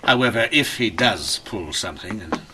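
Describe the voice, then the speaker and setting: An actor playing a stern, driven Victorian inspector in a radio mystery, with emphatic, intense delivery. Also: male voice, lowish voice, expressive, normal speed, background noise, natural voice. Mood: grim resolve. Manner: emphatic, intense, clipped declarations. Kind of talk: old-time radio mystery acting.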